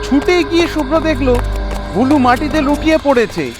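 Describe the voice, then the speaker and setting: A man narrating a scripted horror story in an expressive, suspenseful, dramatic way. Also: very high-pitched, normal speed, over music.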